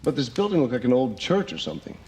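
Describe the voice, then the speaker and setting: An actor playing a shady, wisecracking adventurer in a game cutscene, chatty and sarcastic. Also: male voice, mid-range voice, big pitch swings, fast talker, dark tone, slight background noise. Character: wisecracking shady male adventurer, middle-aged. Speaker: game character.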